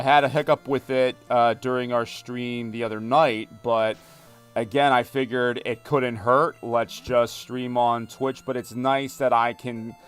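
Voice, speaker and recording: A man's voice: medium pitch, clear, resonant tone. A young man live-streaming a game, rambling casually and joking with his chat. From United States. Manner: rambling, talkative, many fillers, reads chat aloud and jokes. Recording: music playing behind.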